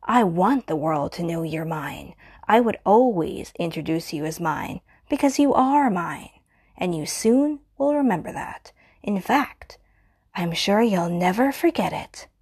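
A woman's voice: fairly low pitch, expressive, measured pace, a little mellow, breathy edge, full character voice. A woman voicing an obsessive, possessive stalker who kills for love, speaking intimately and often in a menacing whisper in an audio roleplay.

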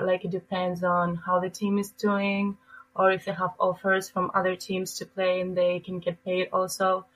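Young raised woman, relaxed and chatty. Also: fairly low pitch, poor audio. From Greece. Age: 20s. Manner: relaxed, lots of "like" fillers. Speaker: college volleyball player guest. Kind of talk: casual interview answers.

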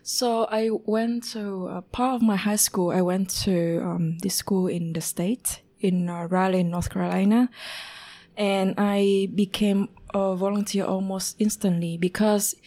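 Guest, woman in her 30s, soft dark tone, unhurried and searching for words. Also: mid-range voice, steady tone. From Vietnam. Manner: unhurried, pausing to find words, with fillers. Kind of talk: telling her life story in an interview.

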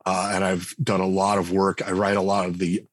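A man's voice: low voice, completely flat delivery, brisk, no echo, studio quality. A man in his forties speaking as a guest in a casual interview, talking loosely with many restarts and fillers. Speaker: game writer and designer guest. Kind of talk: conversational podcast interview.

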